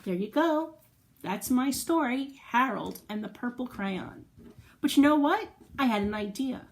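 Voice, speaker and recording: Slow, higher-voiced woman telling children's stories. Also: lively intonation. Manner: encouraging, simple explanations with questions to young listeners. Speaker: children's storytime host. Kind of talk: read-aloud storytelling and chat to young children.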